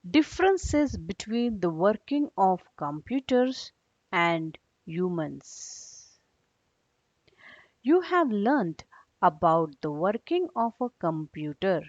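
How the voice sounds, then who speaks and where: Very slow-paced woman teacher, echoey room. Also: mid-range voice, very animated, darkish tone. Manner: didactic, checks understanding with short questions. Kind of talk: read-along lesson for young children. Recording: dull, echoey room recording.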